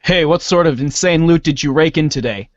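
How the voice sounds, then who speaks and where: A man's voice: on the high side, fairly quick, no echo, highs cut off, natural voice. A man voicing a brash, slangy teenage boy in an amateur read-along drama. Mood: brash, excited.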